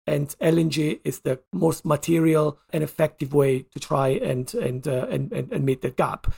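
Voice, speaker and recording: A man with a gravelly, slightly husky voice speaks as a podcast guest. He explains hesitantly, repeating words and pausing as he works through his point. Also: fairly high pitch, dark tone. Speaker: energy analyst guest. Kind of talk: podcast discussion.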